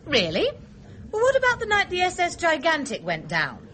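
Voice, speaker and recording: A woman's voice: extremely high pitch, wildly swooping pitch, moderate pace, fairly bright tone, faint music behind, full character voice. An actress playing a tactless, needling young woman passenger in a radio drama, arch and provocative, recorded over ambient noise. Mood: pointedly provocative. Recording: noisy ambience behind the voice.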